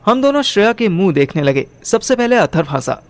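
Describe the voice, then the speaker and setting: A man's voice: high voice, big pitch swings, fairly quick, soft background music. A young man narrating a first-person audio drama in colloquial Hindi mixed with English words. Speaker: narrator and lead character.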